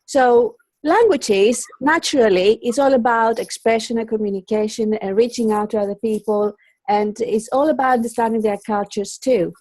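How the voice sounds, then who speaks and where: A middle-aged woman with a Greek accent, a native Greek speaker, telling her own story as an interview guest in an expressive, wandering way with repeated words. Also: on the high side, normal speed, dry recording. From Greece. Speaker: interview guest, colour psychologist and teacher.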